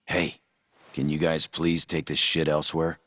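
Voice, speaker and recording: An actor playing an irritated, put-upon shopkeeper in video game dialogue. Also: male voice, a bass voice, level tone, normal speed, bright, forceful tone, no echo, heavily acted. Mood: annoyed.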